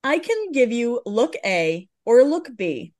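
Female podcast co-host, a touch higher pitch, casual chatty read of gossip items. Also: big pitch swings, slow, darkish tone. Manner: chatty, reads blind items aloud. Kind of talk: casual celebrity gossip chat.

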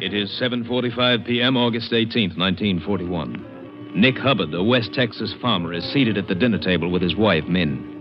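An American man narrating a 1950s radio crime drama in a measured, matter-of-fact way. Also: fairly low pitch. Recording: dull vintage radio recording. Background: music.

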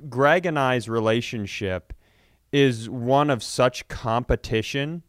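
A man chatting casually as a comedy podcast co-host, telling self-mocking, sweary stories in an animated way. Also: mid-range voice, takes it slowly, no echo, studio quality.

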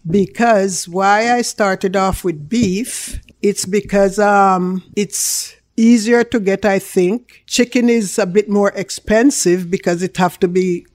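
A woman's voice: medium pitch, takes it slowly, hardly any pauses, darkish tone, dry recording, studio quality. An older woman from Jamaica with a Jamaican accent, chatting casually as an interview guest. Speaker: interview guest, small food business owner.

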